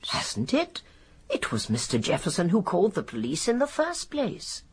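Very husky, shrewd elderly British lady sleuth. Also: on the low side, wildly swooping pitch, normal speed, heavily acted. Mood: pointed. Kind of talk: radio drama acting.

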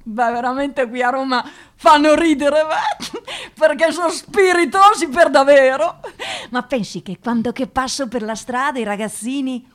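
A woman's voice: high voice, moderate pace, a bit bright. An actress playing a shy, pious eighteen-year-old provincial girl with a hesitant, stammering, naive way of talking in a radio drama. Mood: amused, animated. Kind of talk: radio drama interview monologue.

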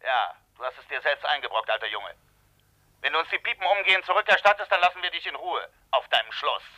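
An actor in a German radio play playing a menacing, mocking American gangster rival, cold and commanding through a telephone filter. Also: male voice, a little high, sing-song, quick pace, bright, forceful tone, highs cut off, heavily acted. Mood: mocking menace.

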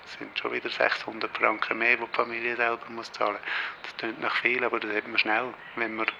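A man's voice: mid-range voice, monotone, a little fast, bright, forceful tone, lo-fi sound. A man with a Swiss German accent, an undertaker explaining matter-of-factly and listing practical details in an interview. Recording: clear background noise.

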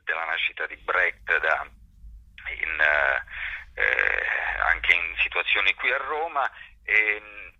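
A man giving explanatory interview answers in a halting, thoughtful way, searching for his phrasing as he goes. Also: deep voice, sharp tone, dry recording, highs cut off. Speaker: actor and workshop teacher being interviewed.

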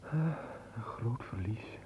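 A man playing a solemn, smitten nobleman in a radio play, speaking earnestly and haltingly. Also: mid-range voice, animated, dark tone, slight background noise. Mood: hesitant, smitten. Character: solemn, hesitant young nobleman in love. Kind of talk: theatrical radio play acting.

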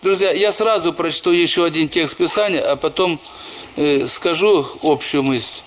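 A man's voice: fairly high pitch, moderate pace, a bit bright, slight background noise. A man who is a church pastor, preaching a sermon and telling personal stories, often calling the listeners brothers and sisters.

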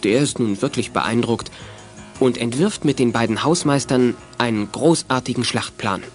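A man's voice: mid-range voice, moderate pace, faint music behind. A man narrating a children's radio drama in scripted storytelling style.

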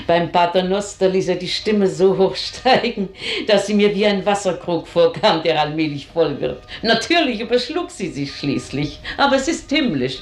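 An actress playing an earthy, bitter older housekeeper in a German radio drama, grumbling with theatrical scorn. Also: female voice, sits low. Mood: wistful relish. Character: bitter, earthy older female housekeeper, gossiping and cursing her mistress. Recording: roomy, echoing radio drama studio sound.

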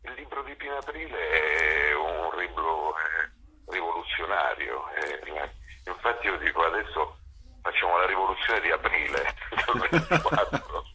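A man's voice: low voice, lively intonation, a little slow, bright, forceful tone, a little hiss or hum, low-quality recording. A man, a theatre author and actor, talking at length as a guest in a radio interview, rambling with many fillers and restarts. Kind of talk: radio interview, discursive talk.

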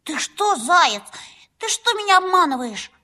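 Grumpy little hedgehog kid for a story, high and bright, bit slow, curious. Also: female voice, very animated, full character voice. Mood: grumpy, doubtful. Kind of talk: children's audio play acting.

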